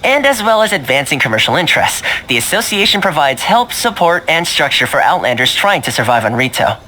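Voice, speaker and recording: A man playing a suave, easygoing young fixer in a video game, talking in a smooth, wry, persuasive way. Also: a little high, sing-song, brisk, bright, forceful tone, slight background noise, rough recording. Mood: explanatory. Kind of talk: video game dialogue acting. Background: game music.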